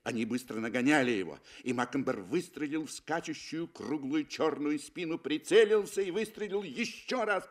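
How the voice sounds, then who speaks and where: A man reading literary narration for a 1960s radio play, with expressive, emphatic phrasing. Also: on the high side. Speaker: audio drama narrator. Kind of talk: dramatic literary narration, 1960 Soviet radio. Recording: 1960 Soviet radio recording.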